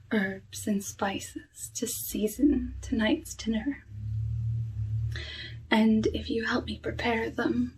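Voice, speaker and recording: A woman playing a lonely, courteous, slyly menacing forest witch in an ASMR roleplay, speaking softly and often whispering in formal, deliberate phrases. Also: fairly high pitch, distant mic, a little hiss or hum, rough recording. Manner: hushed, slow, deliberate, formal old-fashioned phrasing.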